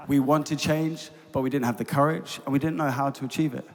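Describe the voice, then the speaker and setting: A man's voice: mid-range voice, steady tone, a little fast. A man youth ministry leader giving a preacherly conference talk from a stage, building to emphatic peaks. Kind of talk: conference talk, then hosting a panel. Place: large concert hall on stage. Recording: large hall with long reverb.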